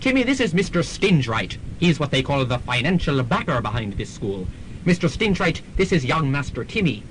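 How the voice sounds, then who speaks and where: Pompous radio-drama priest, a man acting: florid, sermonizing, lively swings in pitch, slightly noisy amateur recording. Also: normal speed. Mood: grandiose. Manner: preachy, florid, repeats himself.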